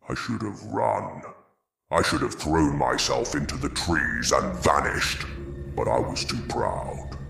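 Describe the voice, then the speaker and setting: A man voicing a haunted old undead hunter in gothic horror narration, gravelly, brooding and ominous. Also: background noise, exaggerated voice. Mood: grim, fearful. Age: older. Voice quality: gravelly, very deep. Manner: slow, brooding, ominous storytelling. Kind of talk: scripted first-person gothic horror narration. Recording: roomy echo over music. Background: music.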